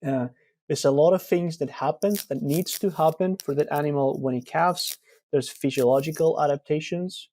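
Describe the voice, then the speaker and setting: A man from Argentina in his thirties explains his research as an interview guest. His voice is mellow and warm, recorded dry and close with no room around it. He talks in a relaxed, explanatory way with plenty of fillers. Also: a little high, normal speed, no echo. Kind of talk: conversational interview answers.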